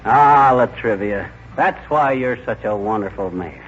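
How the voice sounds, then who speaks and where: An actor playing a folksy, mischievous, wisecracking husband who teases jauntily in 1940s radio comedy. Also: male voice, medium pitch, a bit bright. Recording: dull, hissy 1940s radio recording.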